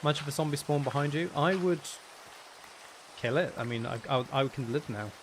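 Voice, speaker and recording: A man's voice: on the high side, moderate pace. A man giving casual live game commentary, thinking aloud in a playful, self-deprecating, rambling way. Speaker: game streamer. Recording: steady rain noise behind the voice. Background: rain sounds.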